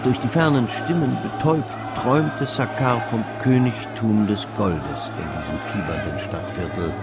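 An actor narrating a literary radio play as a measured, all-knowing storyteller of an old stock exchange tale. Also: male voice, fairly low pitch, over a noisy crowd, close to an everyday voice. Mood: measured, vivid. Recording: music behind the voice. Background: music.

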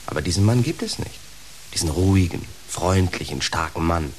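World-weary young man, low voice, wry, wistful, radio play, slight hiss. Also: expressive, moderate pace. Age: young adult. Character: wry, devoted, resigned younger man, worn-out companion. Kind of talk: radio drama acting.

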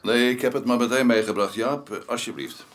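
An actor playing a dutiful, polite and efficient police colleague in a Dutch radio play. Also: male voice, medium pitch, brisk, background noise, lightly acted. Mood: businesslike.

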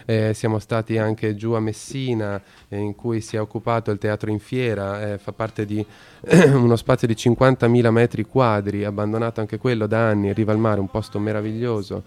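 A man hosting a radio talk, speaking hesitantly with many pauses and filler sounds. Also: lowish voice, little pitch movement, a little mellow, clean tone. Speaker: radio host.

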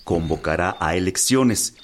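A man's voice: low-pitched, lively intonation, a little slow. A man acting in a radio drama as a ceremonious political envoy, formal and declamatory. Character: ceremonious male political envoy addressing a revolutionary general.

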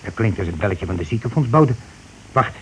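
A man's voice: lowish voice, lively intonation, fairly quick, natural voice. Casting: male actor for a scheming, bossy husband, streetwise and glib, in a radio play; noisy old recording. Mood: flustered, impatient. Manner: glib, colloquial, self-justifying. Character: scheming, bossy working-class husband, glib petty chancer. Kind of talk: Dutch radio play acting.

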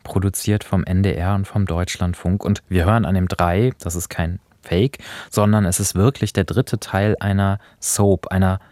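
A man's voice: low voice, steady tone, normal speed, few pauses, a little mellow, no echo. A man hosting a radio programme in a conversational presenting style, introducing radio drama. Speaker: radio podcast host introducing radio plays.

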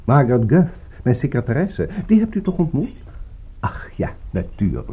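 A man's voice: medium pitch, sing-song, warm tone, a little hiss or hum. An actor playing a genteel, courteous but evasive male host in a radio drama, speaking politely and urbanely. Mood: regretful, evasive. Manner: polite, urbane, unhurried small talk.

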